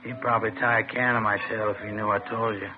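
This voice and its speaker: Actor as slangy informant on tinny old radio. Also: male voice, fairly low pitch, flat delivery, fast talker, music in the background, close to an everyday voice. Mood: guarded, confiding. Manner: slangy, colloquial. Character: down-on-his-luck male street informant, chummy, guarded. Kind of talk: 1950s radio drama acting. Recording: noisy, tinny vintage radio recording.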